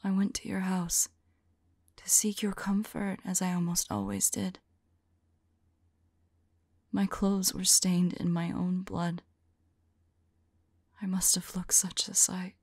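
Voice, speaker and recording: A woman playing a remorseful, lovelorn female vampire in an ASMR roleplay, whispering softly and tenderly in a halting, intimate monologue. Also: on the low side, no echo. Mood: haunted, sorrowful. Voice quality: soft, breathy whisper. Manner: slow, halting, tender delivery.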